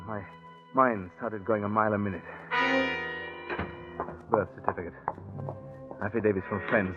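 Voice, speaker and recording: A man's voice: on the low side, lightly acted. A man playing a scheming gambler who narrates his own crime in a wry, confiding way, in 1940s radio suspense style. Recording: muffled 1940s radio broadcast with music.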